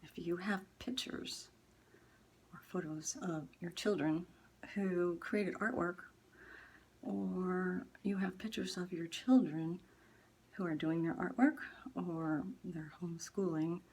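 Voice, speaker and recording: Slow, husky woman. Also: fairly low pitch, fairly even intonation, a little mellow. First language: Dutch. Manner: earnest, halting, deliberate. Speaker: artist and book organizer. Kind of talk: personal video appeal to viewers.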